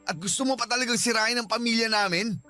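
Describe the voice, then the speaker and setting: Guilty cheating husband, rapid speech, indignant, agitated, radio drama. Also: male voice, very high voice, pushed and bright, soft background music. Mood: accusing. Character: cheating husband, agitated, fending off his sister-in-law. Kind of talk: Tagalog radio drama acting.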